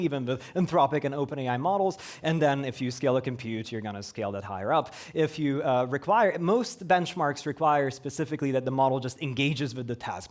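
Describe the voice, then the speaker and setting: A man speaker answering audience questions after a conference talk, with frequent hesitations and fillers. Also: mid-range voice.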